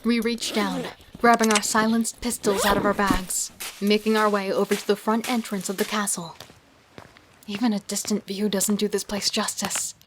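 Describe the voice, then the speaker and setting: A woman playing a thrill-seeking, remorseless killer and thief, narrating in an intimate, breathy way for a horror audio drama. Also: mid-range voice, flat delivery, moderate pace, background noise, natural voice. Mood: tense, watchful. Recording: music under the voice. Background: music.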